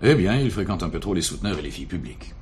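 Deep, formal police director. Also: male voice, very animated, rapid-fire, a little hiss or hum. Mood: stern, cautious. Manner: formal, admonishing. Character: cautious, political police director. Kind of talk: French radio drama acting.

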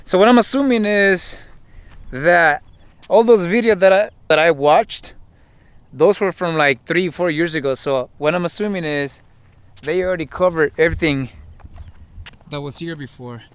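A man's voice: fairly high pitch, moderate pace, pauses often, clear, resonant tone, no room sound. A young man in his twenties vlogging while exploring outdoors, thinking aloud and talking straight to viewers through a handheld camera. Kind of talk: casual vlog narration while exploring. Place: outdoors on open hillside with brush. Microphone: handheld camera at arm's length.

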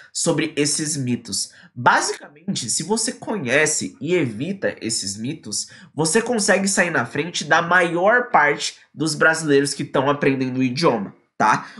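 A young man from Brazil teaches English into a close webcam, his pitch leaping up and down as he talks. He sounds energetic and eager, explaining each point to a viewer from a small indoor room. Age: young adult. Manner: lively and energetic, quoting a student in acted lines. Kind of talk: online English lesson in Portuguese. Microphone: webcam at close range.

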